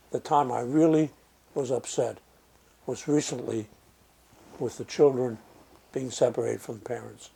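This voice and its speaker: An older man from Germany, speaking slowly and reflectively in an oral history interview, with frequent pauses and fillers. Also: medium pitch, creaky, mellow, husky.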